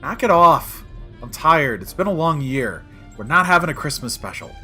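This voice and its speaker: A reluctant, worn-out male adventurer, played by a man in a comedy audio drama. He sounds bright and highly animated, yet hesitant and stubborn, with faint music behind. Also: normal speed. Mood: weary, exasperated. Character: weary, reluctant bachelor adventurer, evasive but stubborn.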